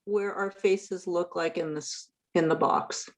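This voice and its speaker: A woman's voice: lowish voice, fairly even intonation, unhurried. A woman chatting casually and practically on a video call.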